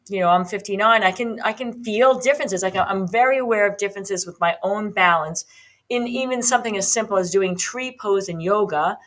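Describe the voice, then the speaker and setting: A woman's voice: mid-range voice, fairly bright tone. Chatty fifties woman hosting a podcast. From United States. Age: 50s. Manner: chatty, rambling, with many ums and restarts. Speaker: podcast host and fitness trainer. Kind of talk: solo podcast talk.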